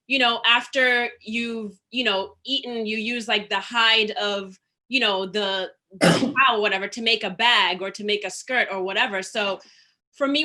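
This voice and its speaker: A woman's voice: a little high, bright, forceful tone. A woman from Zimbabwe speaking as a panel guest on a video call, earnest and storytelling, with many fillers. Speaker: panel guest, store founder. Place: home room with shelves, indoors. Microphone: laptop webcam on a video call.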